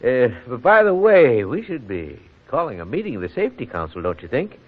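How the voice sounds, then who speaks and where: A man's voice: medium pitch, very animated, a little fast. Earnest townsman on tinny old radio. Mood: genial, earnest. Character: earnest, civic-minded townsman in a small rural community. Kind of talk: 1940s radio acting. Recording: muffled, narrow-band old radio broadcast.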